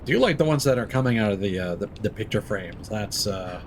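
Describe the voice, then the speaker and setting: A man's voice: on the low side, lively intonation, fairly quick, slight background noise. A man streaming a game with casual commentary, thinking aloud as he plays.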